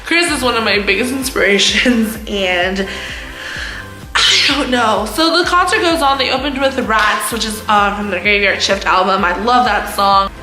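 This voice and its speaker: A woman in her twenties telling a casual story to camera as a vlogger, with a loud voice and a giggly, self-mocking manner. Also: mid-range voice, moderate pace, reverberant, soft background music, rough recording. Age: 20s. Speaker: vlogger, host of own channel.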